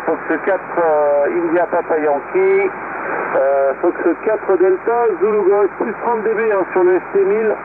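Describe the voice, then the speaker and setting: A man's voice: sits high, fairly even intonation, a little slow. A man chatting casually as an amateur radio operator over shortwave, rambling and hesitant, with frequent tag words. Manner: hesitant, rambling, punctuated with "hein" tags. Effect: shortwave radio transmission. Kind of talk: casual ham radio chat with callsigns. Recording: narrow-band shortwave radio, clearly noisy. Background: radio static and noise.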